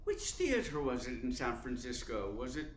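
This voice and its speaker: An actor playing an urbane, cunning theater columnist in a radio play, speaking in a suave, deliberate, formal way. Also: male voice, mid-range voice, very animated, close to an everyday voice. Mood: suave, probing. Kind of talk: radio play narration and dialogue. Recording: roomy echo, faint background noise.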